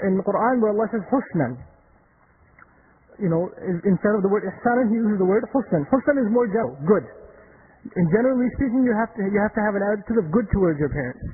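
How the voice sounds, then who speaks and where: A man's voice: high-pitched, phone-quality sound, slight background noise. A man whose first language is Urdu, giving a religious lecture with emphatic repetition and rhetorical questions. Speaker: Islamic lecturer.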